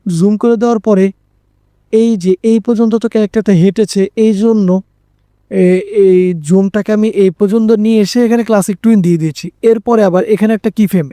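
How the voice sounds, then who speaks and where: High-pitched, mellow Bangladeshi male tutor. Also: no echo, studio quality. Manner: repeats phrases for emphasis, checks in with listeners. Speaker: animation tutorial presenter. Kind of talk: informal screen-recorded software tutorial.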